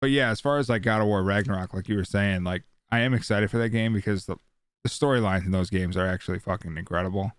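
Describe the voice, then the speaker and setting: Low-voiced, slangy man in casual chat. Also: quick pace, clean tone. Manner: slangy, swearing. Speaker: podcast co-host. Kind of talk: casual panel chat about video games.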